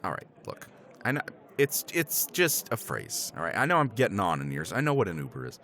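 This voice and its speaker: A man's voice: on the low side, wildly swooping pitch, fairly quick, pushed and bright, full character voice. Man playing a tipsy, rambling middle-aged professor. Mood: persuasive. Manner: rambling, chuckling, swears casually. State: tipsy from scotch. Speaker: roleplay character. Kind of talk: ASMR romance roleplay.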